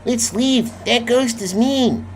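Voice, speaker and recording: A man voicing Bob, a frightened young boy in a children's storybook, in a high, thin, nervous voice. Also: takes it slowly, soft background music. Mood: scared.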